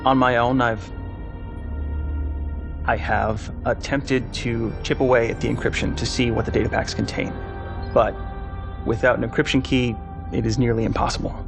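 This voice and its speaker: An actor playing a young, stubbornly confident military mech pilot in a dramatic game cutscene, speaking earnestly and formally. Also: male voice, mid-range voice, vocal fry. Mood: determined.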